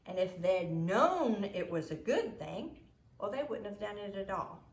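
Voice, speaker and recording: A woman reading a story aloud expressively, with deliberate, emphatic phrasing. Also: medium pitch, very animated, reverberant.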